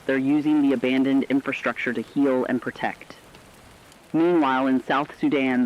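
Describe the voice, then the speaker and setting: A medium-pitched acted male voice of a sober field correspondent in an apocalyptic radio drama. He reports formally and earnestly, through a dull lo-fi radio sound. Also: lightly acted. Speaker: news correspondent. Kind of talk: scripted dramatic news report.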